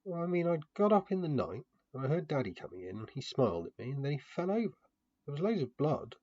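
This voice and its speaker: A man's voice: on the high side, very animated, quick pace, mellow, pitched above the performer's own voice. A man voicing a frightened, sullen little girl in a fantasy story, with plain, hesitant childlike phrasing, in a muffled home recording. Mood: scared, bewildered.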